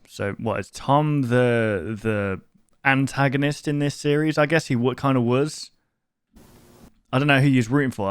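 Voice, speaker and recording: A man's voice: medium pitch, no echo. A man hosting a reaction video, commenting casually and musing aloud in a rambling way.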